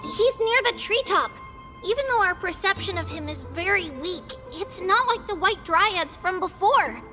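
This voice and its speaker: A bubbly little girl from an anime-style game. Her voice is extremely high and very animated, yet unhurried, with childlike eagerness, over clear background music. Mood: earnest. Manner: excitable, third-person childlike talk. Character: bubbly childlike little girl who speaks of herself by name.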